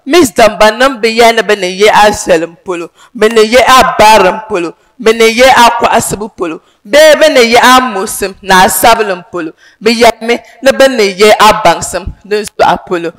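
A young woman with a Ghanaian accent performing a theatrical advocacy monologue on a large indoor stage, emphatic and declamatory with sudden loud swells. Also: medium pitch, fairly quick, few pauses, crowd noise. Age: young adult. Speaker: pageant contestant.

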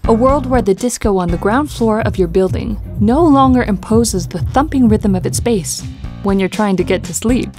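A woman reading scripted documentary narration in a clear, measured way. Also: medium pitch. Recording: studio voice-over with music behind. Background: music.

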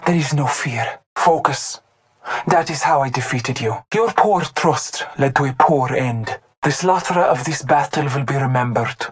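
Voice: mid-range voice, slight background noise, lightly acted. An actor voicing a solemn, honorable hunter devoted to Norse gods in a video game, speaking in formal, ceremonious phrases. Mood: grave, triumphant. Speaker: playable game character. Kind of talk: video game voice lines, short barks and proclamations.

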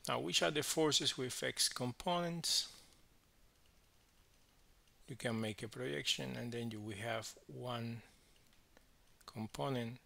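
Slow, sharp-voiced male physics teacher. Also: mid-range voice, creaky. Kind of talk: step-by-step worked problem explanation.

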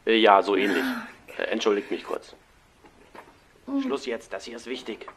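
A gruff, grumpy film director acted by a man in a parody audio drama. His delivery is curt and crude, in a lowish voice with faint noise behind it. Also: moderate pace, a bit bright. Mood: irritated, serious. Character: gruff, foul-mouthed film director, grumpy older client.

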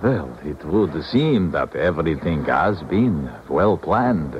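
An actor playing an apprehensive older defector in a 1970s radio drama, speaking formally, haltingly and deliberately. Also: male voice, extremely low pitch, big pitch swings, full character voice. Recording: muffled, noisy 1970s radio recording.

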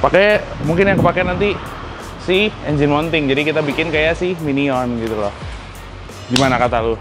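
A young man hosting a casual vlog at an outdoor garage, teasing and joking in relaxed slang. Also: fairly high pitch, normal speed, music in the background. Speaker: vlog host. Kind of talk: casual chat.

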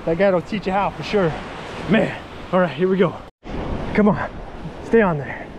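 A man talking casually to viewers in an excitable, folksy, slangy way, like a fishing vlogger, recorded outdoors on an action camera. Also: high voice, normal speed. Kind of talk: casual fishing vlog commentary. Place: outdoors on an open sea beach. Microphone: body-worn action camera. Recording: windy beach, surf and wind noise. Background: wind and breaking waves.

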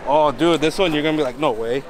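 A man's voice: fairly high pitch, fast, crowd noise, poor audio. A young man chatting with friends in loose, slangy banter. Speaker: friend of the vlogger. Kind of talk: casual chat. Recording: loud music behind.

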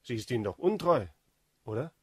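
An actor playing an insinuating, obsequious servant to a baron in a German radio drama. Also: male voice, mid-range voice, expressive, a little fast, husky, dry recording, clean studio sound. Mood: knowing, obliging.

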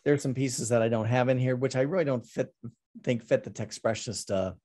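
Halting middle-aged man on a video call. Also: mid-range voice, dark tone. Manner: halting, many ums and restarts. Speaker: artist presenting his work. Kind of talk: casual talk over a slide presentation.

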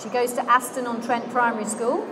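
A woman working as ring announcer in a large indoor exhibition hall, calling out names slowly and clearly over a public-address system. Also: fairly high pitch, steady tone. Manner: slow, declamatory announcing of names. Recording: crowd and hall noise.

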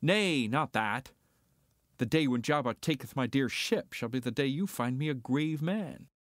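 An actor playing a cocky, roguish smuggler pilot, declaiming archaic verse in an amateur Shakespearean reading. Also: male voice, medium pitch, animated, measured pace, a bit bright, dry recording, clean studio sound. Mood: cocky, wry.

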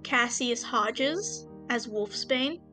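Young adult female co-host, slightly high voice, playful mock drama, close dry sound with soft music underneath. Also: very slow. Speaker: podcast co-creator and host. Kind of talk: casual banter and thank-you message.

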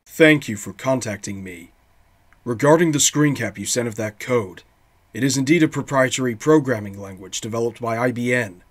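A man's voice: medium pitch, moderate pace, lightly acted. A young man voicing a polite, knowing anime informant with a precise, formal delivery. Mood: cool helpfulness.

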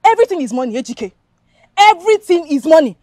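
A woman's voice: extremely high pitch, big pitch swings, fast, a little mellow, no room sound, studio quality. A woman acting a money-minded, scornful young village woman in a film drama, scolding and dismissive, with a Nigerian Igbo accent. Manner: scolding, dismissive, repeats herself. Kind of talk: Nollywood-style film acting.

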